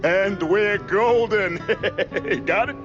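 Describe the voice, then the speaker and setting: A man's voice: high voice, very slow. A man voicing a sleazy, boastful, jealous mouse husband in a cartoon game, smug and wheedling. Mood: smug, scheming. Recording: muffled, faint guitar music behind.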